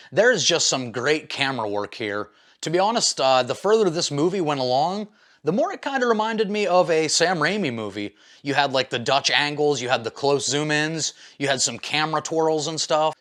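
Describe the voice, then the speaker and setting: Guy doing a casual movie review, slightly high voice, into it and slangy, lots of opinions. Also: fairly bright tone. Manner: informal, enthusiastic, slangy. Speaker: movie reviewer, video host.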